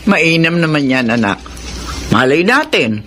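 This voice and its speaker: A woman's voice: deep voice, measured pace, exaggerated voice. A kindly older Filipino mother. Her intonation rises and falls freely as she muses in radio drama style, with faint noise behind. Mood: kindly, curious. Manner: musing, motherly, expressive.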